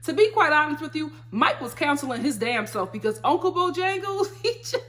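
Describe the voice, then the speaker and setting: A woman giving a casual, sassy review of a reality TV episode, blunt and quick to laugh. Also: sits high, very animated, fairly bright tone. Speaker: TV show reviewer.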